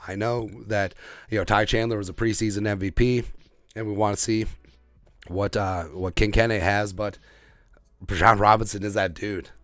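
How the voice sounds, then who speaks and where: A man's voice: fairly low pitch, dry recording. A man hosting a sports podcast, giving off-the-cuff, opinionated football commentary and repeating phrases for emphasis.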